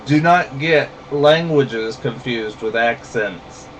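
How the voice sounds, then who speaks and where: A man with a Southern US drawl delivers a blunt, heated rant in a slow, deliberate way. He sits a little back from the microphone. A faint hum runs underneath. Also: medium pitch, recorded from a distance, poor audio. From rural southern United States. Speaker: vlogger. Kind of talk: ranting monologue to camera.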